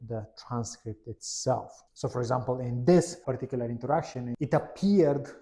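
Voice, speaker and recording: A man's voice: mid-range voice, normal speed, dark tone. A man narrating a product demo in a conversational, explanatory way, stressing key words as he presents.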